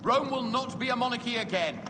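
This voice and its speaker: An actor playing a principled Roman senator who opposes tyranny with earnest oratory in a dramatic game cutscene. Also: male voice, extremely high pitch, animated, brisk. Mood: earnest defiance. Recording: noisy, echoing long hall.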